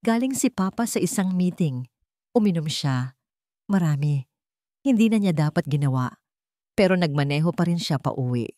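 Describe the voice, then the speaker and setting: A woman voicing a guilt-ridden man confessing a dark family secret in an audio drama, with a trembling voice. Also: on the low side, animated, normal speed, long pauses, no room sound, studio quality, natural voice. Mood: grave, desperate. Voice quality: trembling, breaking voice.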